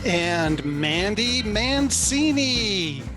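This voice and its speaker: Woman as a slow, swooping game show announcer. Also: mid-range voice, big pitch swings. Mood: showy. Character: grand, drawn-out game show announcer presenting the stars. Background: music.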